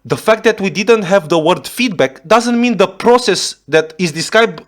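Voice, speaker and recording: A man's voice: high voice, expressive, moderate pace, fairly bright tone. A man from Romania speaking English with a Romanian accent as a reaction video host, swearing often and restarting his sentences as he rants in exasperation. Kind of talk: ranting reaction commentary.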